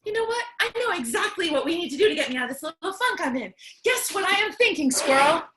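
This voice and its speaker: A woman playing a lonely, chatty, excitable apocalypse survivor in a theatrical comic staged reading. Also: extremely high pitch, quick pace, a little hiss or hum, heavily acted. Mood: excited, chatty. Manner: theatrical, chatty, swings between outbursts and cheer.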